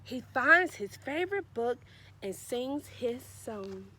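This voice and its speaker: A woman's voice: fairly high pitch, sing-song, slow, sharp tone, slight background noise. A woman reading a children's storybook aloud in a sing-song, encouraging way, with emphatic repetition and questions to young listeners. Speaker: read-aloud storyteller, librarian.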